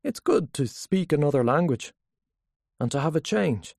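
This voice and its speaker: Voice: medium pitch, lively intonation, normal speed, creaky, a little mellow, no room sound, studio quality. Narrator voicing a guarded, weary educated man. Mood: uneasy, defensive. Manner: hesitant, guarded. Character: reserved, weary, defensive educated married man.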